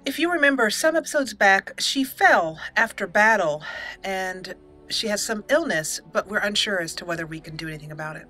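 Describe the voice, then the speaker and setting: A woman's voice: medium pitch, animated, brisk, pushed and bright. A woman giving casual let's play commentary, chatting and thinking aloud as she plays. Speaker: gaming channel host and player. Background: game music.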